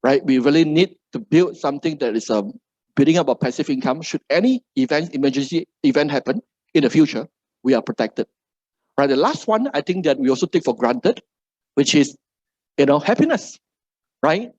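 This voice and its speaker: A man's voice: a little high, animated, a little fast, a little mellow, no room sound, dull audio. A middle-aged man from Malaysia with a Malaysian English accent gives a seminar talk to a live audience, ending nearly every phrase with "right?". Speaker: motivational speaker.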